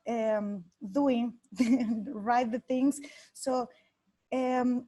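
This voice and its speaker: Mexican woman guest, earnest, fairly high. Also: mellow. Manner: earnest, searching for words in a second language. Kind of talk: interview answer.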